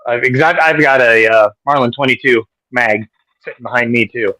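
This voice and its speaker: A man chatting casually as a guest, slow and hesitant in his phrasing. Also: medium pitch, steady tone, bright, forceful tone, dry recording, poor audio. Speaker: chat guest. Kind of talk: casual chat.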